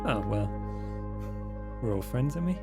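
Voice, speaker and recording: A man's voice: medium pitch, animated, moderate pace, loud music behind the voice, close to an everyday voice. A man playing a casual young fledgling vampire, slangy and offhand, with hesitant phrasing. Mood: breezy, offhand.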